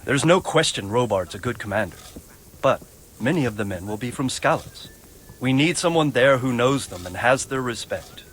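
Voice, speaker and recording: An actor playing a stern, authoritative nobleman leading men in war, in a measured, firm way for a video game. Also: medium pitch, expressive, a little hiss or hum, natural voice, poor audio. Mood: stern instructing. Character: stern, commanding medieval nobleman and wounded war leader. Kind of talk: dramatic video game cutscene acting. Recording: music behind the voice.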